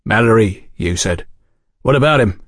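A man voicing a tall, calculating Victorian rough with an insolent, slangy way of talking. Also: fairly low pitch, lively intonation, normal speed, no room sound, studio quality. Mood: wary.

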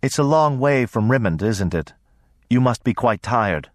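A man voicing a courteous, gentle mother in an audiobook, polite and composed in manner. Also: medium pitch, lively intonation, unhurried, no echo, studio quality, lightly acted. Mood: gently polite. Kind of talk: audiobook character voice.

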